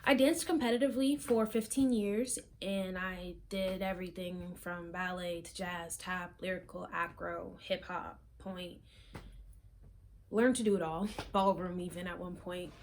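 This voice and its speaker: A young woman from South Florida chatting casually to camera as a fitness vlogger, rambling with frequent ums and soft trailing asides. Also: on the low side, steady tone, unhurried, slight background noise. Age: young adult. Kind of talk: casual chat and workout instruction. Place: small room, plain wall, indoors.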